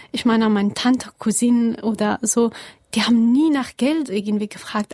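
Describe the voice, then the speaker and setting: Soft-spoken young woman from Afghanistan. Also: fairly high pitch, no echo, studio quality. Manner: halting non-native German with pauses and fillers. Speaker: interviewee. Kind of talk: earnest interview answers.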